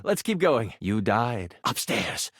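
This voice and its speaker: Animated, eager game master. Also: male voice, lowish voice, slow pace, breathy edge, no room sound, clean studio sound, full character voice. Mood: suspenseful, grave. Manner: expressive, dramatic storyteller delivery. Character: eager, friendly male tabletop game master character. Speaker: game character voice actor. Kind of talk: theatrical tabletop game narration.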